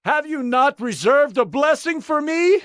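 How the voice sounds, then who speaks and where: A bitter, wronged son played by a man in a dramatised scripture reading. The voice is strikingly high and animated, drawn out slowly, with an emotional, pleading edge. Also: no room sound, clean studio sound, exaggerated voice.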